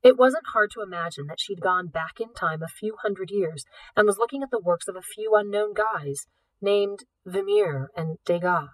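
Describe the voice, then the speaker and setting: A woman reads a novel aloud with expressive, scripted delivery. Her voice sits at a middle pitch. She sounds slightly off the microphone, in a room with little echo. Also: normal speed, far from the mic. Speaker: audiobook reader. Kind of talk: expressive scripted storybook narration.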